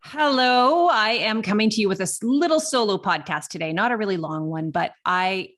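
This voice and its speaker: A woman's voice: mid-range voice, animated, moderate pace, a bit bright. A woman of fifty talking candidly and conversationally in a reflective solo podcast, with emphasis on key words. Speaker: podcast host.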